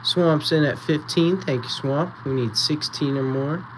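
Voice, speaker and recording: A man hosting a live-streamed auction, chatting casually and counting down bids, with a voice going raspy. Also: a little high, unhurried, a little hiss or hum, rough recording. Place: small indoor photo booth setup.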